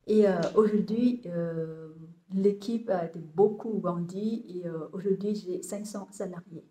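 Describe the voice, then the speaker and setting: A woman in her thirties with a Vietnamese accent talks slowly and haltingly, stopping often to restart her sentences. Her voice is mellow and soft-edged, and the room around her sounds echoey. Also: on the low side. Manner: hesitant, many fillers and restarts. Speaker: compost business owner. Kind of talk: casual talk to camera, halting.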